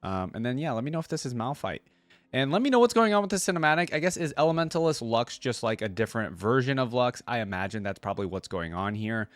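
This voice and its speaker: A man's voice: mid-range voice, big pitch swings, fast, no room sound. A man hosting a reaction video, giving informal, conversational commentary with rambling asides.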